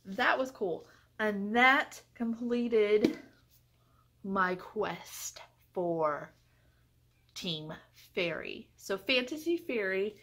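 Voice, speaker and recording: A woman's voice: fairly high pitch, a bit bright. A woman giving a casual book review to viewers in an earnest, chatty, emphatic way.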